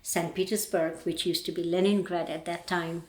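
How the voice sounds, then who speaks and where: An older woman from Bangladesh with a Bangladeshi accent, reflecting on her life as a painter in a thoughtful, halting way with pauses. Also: fairly low pitch, little pitch movement. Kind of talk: reflective personal interview. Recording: roomy, echoey indoor sound.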